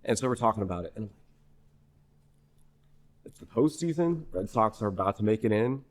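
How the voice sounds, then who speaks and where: A young man from New Hampshire, a singer-songwriter guest in a podcast interview, telling a story in a rambling, animated way full of restarts. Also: lowish voice, fairly quick. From New Hampshire, USA. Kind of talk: casual conversational interview.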